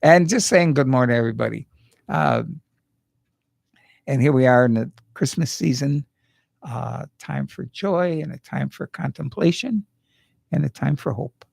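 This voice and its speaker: A man's voice: mid-range voice, very animated, measured pace, vocal fry, pauses often, darkish tone, no echo, studio quality. An older man hosting a radio talk show, chatting in a folksy, joking way. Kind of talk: casual radio interview. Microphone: studio microphone.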